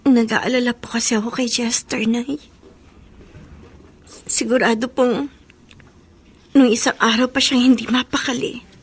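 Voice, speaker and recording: A woman's voice: fairly high pitch, little pitch movement, unhurried, long pauses, breathy edge. A fretful young woman. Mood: pleading. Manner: fretful, pleading. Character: anxious young woman who ran away. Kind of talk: radio drama acting.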